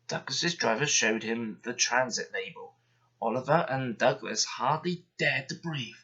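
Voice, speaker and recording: A man reading a children's bedtime story aloud in an expressive, steady manner. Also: medium pitch, measured pace, breathy edge, highs cut off.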